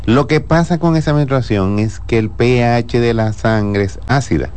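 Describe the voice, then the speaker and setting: A man, a gynecologist who answers listeners on a radio health show in a calm, explanatory way. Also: medium pitch, normal speed, clear, resonant tone. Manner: calm, explanatory, laughs easily.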